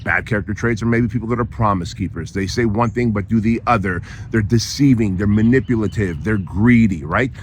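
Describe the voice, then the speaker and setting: A hoarse man with a fairly low voice speaks straight to his listeners in an emphatic motivational monologue. He leans on repetition and keeps tossing in "right?" to keep them with him. A faint hiss of room noise sits under his voice. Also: a little fast, few pauses. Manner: emphatic, repeats phrases, frequent tag "right?". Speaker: podcast host.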